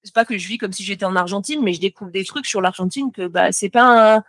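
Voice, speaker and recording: A woman's voice: mid-range voice, fast talker, a bit bright, no room sound, studio quality. A young French woman, a motion designer, chatting as a podcast guest, talking freely with lots of fillers and restarts. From France. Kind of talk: casual podcast conversation.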